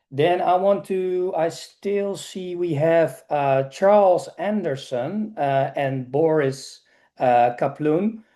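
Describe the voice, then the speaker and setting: A man with a fairly high, very mellow voice moderates an online meeting. He talks casually and haltingly, doubling up on words as he hands over to participants. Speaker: meeting host. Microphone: video call.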